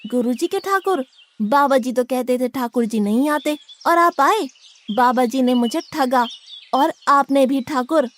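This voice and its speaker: Woman doing a cheeky naive disciple boy, greedy for food, high voice, blunt cartoon talk. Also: a little slow. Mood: puzzled, indignant. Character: naive, gluttonous, blunt young male ashram disciple. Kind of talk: animated moral story dialogue.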